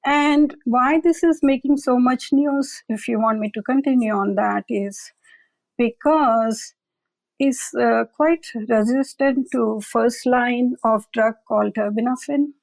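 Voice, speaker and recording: A woman's voice: fairly high pitch, takes it slowly, creaky, darkish tone, no echo, rough recording. A woman scientist from a public health lab, explaining in a plain-spoken, matter-of-fact way during an interview.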